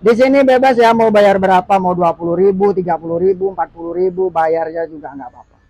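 A man's voice: sits high, fast, mellow, no echo, rough recording. A young man working as a barber, chatting and joking to the camera while he cuts hair in a small shop. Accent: Indonesian. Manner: chatty, joking, keeps saying "guys". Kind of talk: casual vlog narration while cutting hair. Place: small barbershop, indoors, street-facing.

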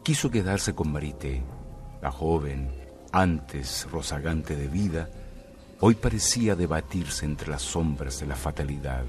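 A man narrating a radio mystery tale in a measured, dramatic way. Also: extremely low pitch, expressive. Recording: music bed under the voice.

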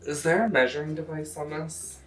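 A man's voice: on the high side, steady tone, brisk, music in the background. A young American man casually walking through a recipe in his home kitchen, with playful, self-deprecating asides. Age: young adult. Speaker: cooking show host. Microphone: camera mic a few feet away. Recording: roomy kitchen echo from camera distance.

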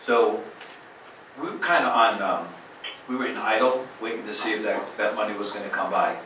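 A man serving as town manager, reporting to the council in a measured, hesitant way with many fillers and a New Jersey accent. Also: on the low side, bright, forceful tone. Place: large council chamber, indoors. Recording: very echoey chamber from far off, audible noise.

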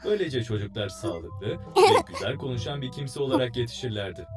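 A man's voice: mid-range voice, brisk, music in the background, lightly acted. A man playing a showy television quiz host in a dubbed cartoon, announcing theatrically to build suspense. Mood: presenting. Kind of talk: quiz show presenting in a cartoon dub.

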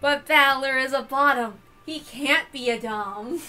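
A woman's voice: high-pitched, measured pace, bright, forceful tone, faint music behind. A woman narrating a visual novel aloud, with casual asides between the scripted lines. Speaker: reader and narrator.

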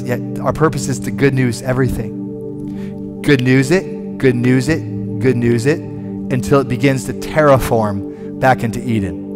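A man in his forties, a pastor preaching a sermon from a church stage on a headset mic, animated and conversational, with frequent restarts. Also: medium pitch. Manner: animated, moving about the stage and gesturing.

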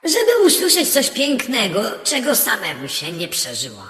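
A woman acting a courteous fairytale castle guide with formal speech. The pitch is highish and swoops widely, in an echoing hall. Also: wildly swooping pitch, normal speed, a bit bright. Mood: philosophical. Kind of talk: storybook radio play acting. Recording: echoey hall with long reverb.

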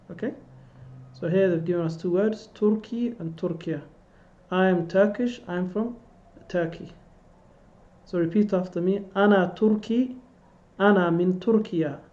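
A man's voice: high-pitched, darkish tone. Patient male teacher over a dull webcam. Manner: patient, repeats phrases for students to echo. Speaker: Arabic teacher. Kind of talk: online language lesson. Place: indoor room.

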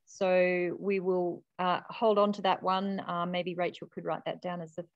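A woman's voice: on the low side, level tone, normal speed, no room sound. Upbeat Australian woman chairing a video call. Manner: encouraging, upbeat. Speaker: facilitator. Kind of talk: chairing a webinar. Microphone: video call webcam. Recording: dry, dull webcam audio.

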